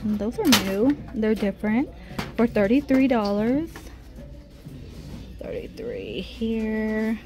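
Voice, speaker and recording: A woman's voice: on the high side, very animated, takes it slowly, long pauses, darkish tone, soft background music. A middle-aged woman vlogging a casual shopping trip, chatting and reading out prices as she browses a busy store. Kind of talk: casual shop-with-me narration. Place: busy discount clothing store.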